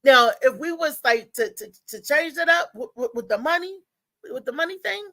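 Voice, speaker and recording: A woman hosting a live talk show with casual, conversational commentary, often halting and repeating words as she goes. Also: high-pitched, animated, bright, forceful tone, no echo.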